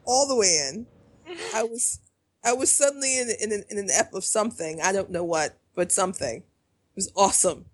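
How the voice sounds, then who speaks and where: Husky-voiced Chicago woman chatting. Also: medium pitch, normal speed, low-quality recording. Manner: chatty, trailing off and restarting. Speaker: podcast co-host. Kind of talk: casual chat.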